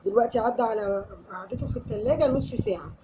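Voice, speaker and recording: A home cook with an Egyptian accent walks the viewer through a recipe in a quick, friendly patter. Her voice sounds tired from a recent cold and sits a little high. The kitchen recording carries a clear layer of background noise. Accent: Egyptian Arabic. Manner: chatty, addresses viewer directly, step-by-step. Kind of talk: cooking tutorial.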